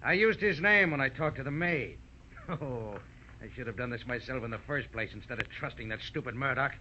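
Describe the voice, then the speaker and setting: An actor playing a sneering, menacing murderer in theatrical 1940s radio style. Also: male voice, mid-range voice, brisk, close to an everyday voice. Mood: gloating, menacing. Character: smooth-talking male murderer, sneering and menacing. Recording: dull, faintly noisy old radio recording.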